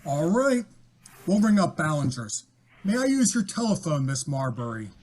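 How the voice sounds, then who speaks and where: A man playing a brisk, businesslike police inspector in an old-time radio mystery, curt and authoritative. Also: a little high, sing-song, a little mellow. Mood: brisk, official.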